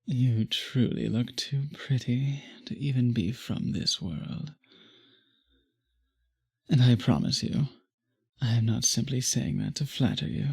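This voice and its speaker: A man playing a possessive, doting male vampire in an intimate ASMR roleplay, speaking formally in a husky, breathy murmur that often drops to a whisper. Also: mid-range voice, slow, a little mellow, heavily acted. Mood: apologetic, doting.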